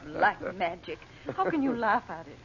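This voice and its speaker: A woman's voice: mid-range voice, very animated, moderate pace, gravelly, a bit bright. A woman playing a worried, protective mother in an old-time radio melodrama, pleading anxiously. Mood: alarmed, pleading. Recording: dull, noisy old radio recording.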